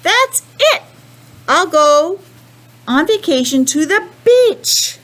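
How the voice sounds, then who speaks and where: A woman's voice: very high voice, sing-song, takes it slowly, fairly bright tone, pitched above the performer's own voice, heavily acted. A woman voicing an eager young penguin from a storybook, exclaiming with childlike enthusiasm. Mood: excited. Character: eager young penguin longing for a tropical vacation.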